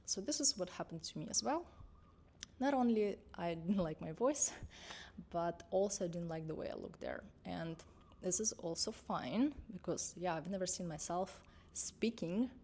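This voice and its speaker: Young female coach, low voice, unscripted, reassuring, self-correcting. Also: lively intonation, normal speed, darkish tone. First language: Russian. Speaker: confidence and mindset coach. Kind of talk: unscripted vlog talk to camera.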